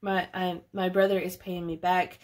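A woman's voice: on the low side, little pitch movement, moderate pace, darkish tone. A woman in her late twenties talking casually through a budgeting vlog, chatty and thinking aloud as she goes. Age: late 20s. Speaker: budgeting vlogger. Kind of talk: casual narrated budget walkthrough.

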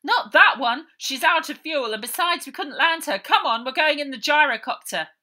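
A woman's voice: high voice, expressive, normal speed, pushed and bright, voice pushed up. A woman voicing a confident male pilot in a storybook, commanding and encouraging. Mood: urgent, commanding. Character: brisk, confident, reassuring injured male pilot.

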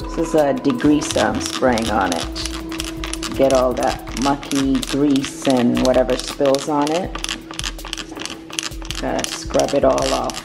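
A woman's voice: a bass voice, steady tone, slow pace, creaky, poor audio. A middle-aged woman hosting a casual home vlog, playfully stretching and repeating words for emphasis. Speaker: family vlogger, host. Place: home dining room and kitchen. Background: music.

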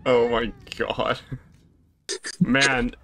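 A man with a middle-pitched voice hosts a casual let's play. He talks slowly and haltingly, repeating words as he reacts to the game with friends. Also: little pitch movement, vocal fry, noisy background.

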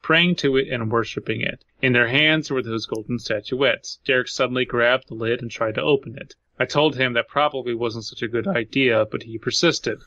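A man's voice: medium pitch, normal speed, hardly any pauses, bright, forceful tone, no echo, dull audio. A man hosting a podcast, reading a horror story aloud and commenting on it. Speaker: podcast host.

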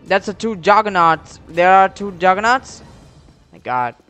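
A young man giving casual gameplay commentary in an excitable, slangy, profane way, speaking into a headset. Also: high-pitched, unhurried, soft background music. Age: young adult. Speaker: gamer, YouTube host. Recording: clear game gunfire noise behind voice. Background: shooter game gunfire and clicks.

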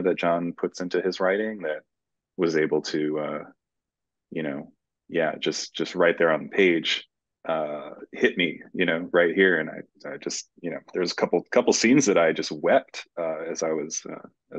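Male interview guest, very low voice, halting conversational delivery, dry and slightly muffled video-call sound. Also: dry recording. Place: indoor room, video call. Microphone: video call microphone.

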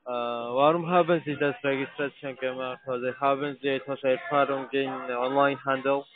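A man who is not a native German speaker, giving a formal, halting phone sales pitch. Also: little pitch movement. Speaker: call center salesman. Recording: phone line with faint music. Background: faint music.

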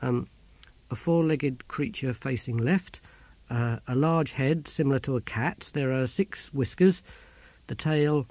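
A man's voice: medium pitch, a little slow, a little mellow, dry recording. Man voicing an earnest, hesitant young priest.

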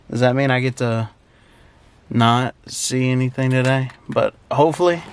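Young man, noisy vlog. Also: mid-range voice. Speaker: vlogger. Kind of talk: casual rambling travel vlog. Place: parked truck cabin and outdoors on trails. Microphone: handheld or propped camera. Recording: clear vehicle and outdoor background noise.

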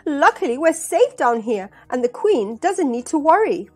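Woman playing storybook ant captain, earnest, alarmed, high pitch, lively swings. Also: normal speed, soft background music. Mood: reassuring. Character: alarmed but loyal ant captain guarding the queen.

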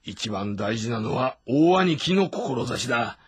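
An actor playing a gruff, impatient but loyal younger warrior in a historical game drama, blunt and rough in speech. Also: male voice, mid-range voice, lively intonation, slow, dry recording. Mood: resolute.

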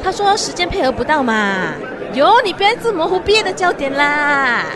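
A young woman with a Malaysian accent playing an excitable, feisty university graduate in a radio drama. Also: high-pitched, a little fast. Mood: casual, evasive. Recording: music and crowd behind voice.

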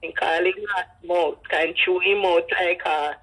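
A woman's voice: lowish voice, fairly even intonation, a little slow, fairly bright tone, husky, low-quality recording. An older woman with a Jamaican accent telling personal stories in patois, acting out the dialogue. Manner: speaks in patois, acts out dialogue. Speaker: grandmother telling her life story. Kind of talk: personal storytelling.

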